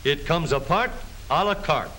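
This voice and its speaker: Male American 1930s newsreel narrator, jaunty and animated, on an old hissy film soundtrack. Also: a little high, normal speed. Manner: jaunty, folksy showmanship.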